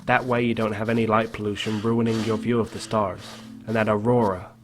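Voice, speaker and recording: A man playing a reflective, gently wry small-town man who narrates his own story in a video game. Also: fairly low pitch, little pitch movement, brisk, close to an everyday voice. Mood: wistful. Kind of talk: first-person game narration. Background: background music.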